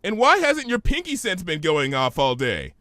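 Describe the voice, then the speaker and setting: A man voicing a sensible, bossy young female cartoon pony, earnest, brisk and questioning in an exasperated way. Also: sits high, wildly swooping pitch, bright, forceful tone, no room sound, clean studio sound, pitched above the performer's own voice, full character voice. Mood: indignant, stern.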